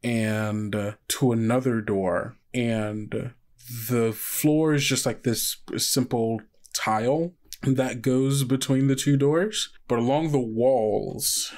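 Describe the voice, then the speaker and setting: A man's voice: mid-range voice, takes it slowly, darkish tone. A man with an American accent who runs a tabletop game, narrating off the cuff in a conversational, rambling way full of asides. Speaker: game master.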